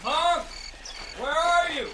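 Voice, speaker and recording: A man's voice: very high voice, takes it slowly, background noise. An actor playing a grumbling, sceptical male adventurer in a radio drama, testy and incredulous in manner. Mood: irritated. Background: jungle night frog and insect ambience.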